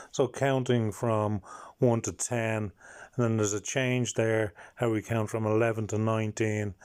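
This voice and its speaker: A man's voice: medium pitch, darkish tone. A man with an Irish accent hosts a language-learning video, carefully explaining and repeating each number word.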